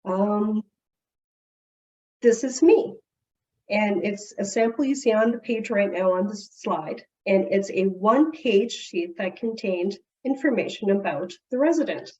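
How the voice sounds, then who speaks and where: A woman's voice: mid-range voice, animated, measured pace. A woman whose first language is Portuguese, giving a conversational webinar presentation. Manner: warm, conversational explaining with small restarts. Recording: distant, dull-sounding webinar mic.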